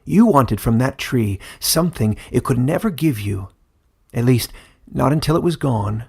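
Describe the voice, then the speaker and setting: A wise old warden acted out by a male narrator in a fantasy audiobook. The voice is raspy and gravelly, and he speaks gently and fondly, as if to a child. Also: medium pitch, expressive, a little slow, heavily acted. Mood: gentle, knowing. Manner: kindly, fond, addresses listener as child.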